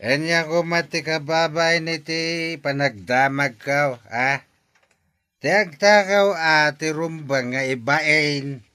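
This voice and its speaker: A man's voice: fairly high pitch, fairly even intonation, takes it slowly, sharp tone, smooth, no echo. An actor playing a rambling, drunk older father in an Ilocano radio drama, with slurred speech. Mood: tipsy teasing.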